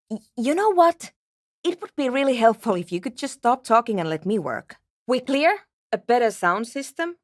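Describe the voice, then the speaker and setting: A woman's voice: medium pitch, a bit bright, dry recording, clean studio sound. An actress playing a weary, obsessive and prickly woman programmer in a video game, with a terse, precise way of talking. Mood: irritated, defensive. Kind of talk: dramatic game dialogue.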